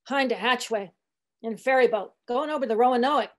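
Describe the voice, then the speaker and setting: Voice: medium pitch, normal speed, close to an everyday voice. A woman voicing a grumbling, weary ninety-year-old male ship's cook in an audiobook, sullen and testy, speaking in dialect. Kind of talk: literary audiobook character reading.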